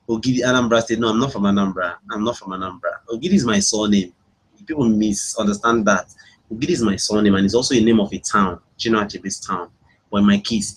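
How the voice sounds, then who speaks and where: A man's voice: lowish voice, breathy edge, low-quality recording. A man with a Nigerian accent hosting a casual live webinar, chatting with his online audience and often repeating his phrases. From Imo State, Nigeria. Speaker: webinar host, internet marketing coach.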